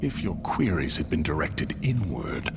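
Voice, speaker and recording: An actor playing a menacing, mocking tormentor in a dramatic video game, with theatrical, taunting delivery. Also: male voice, very deep voice, very animated, gravelly, over music, heavily acted. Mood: cold disappointment. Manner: slow, theatrical, taunting delivery swelling into shouts. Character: menacing mocking male tormentor in a nightmare vision.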